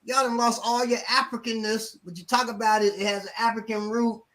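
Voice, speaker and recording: Animated male martial arts instructor. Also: high-pitched, brisk, slight background noise. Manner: animated, acts out quotes of others. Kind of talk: casual storytelling.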